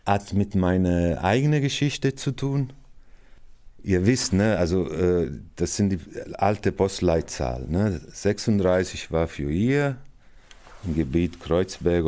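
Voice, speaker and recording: A man in his sixties from Naples with an Italian accent, giving halting, thoughtful interview answers as an artistic director. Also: low-pitched, mellow. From Naples, Italy. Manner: halting, searching for words, frequent pauses.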